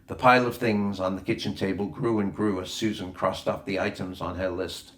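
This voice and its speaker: Guy with a lowish voice reading a kids adventure book out loud, bit of room echo. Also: steady tone, brisk. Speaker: audiobook reader. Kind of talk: scripted narration of a children's adventure novel. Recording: roomy echo on the voice.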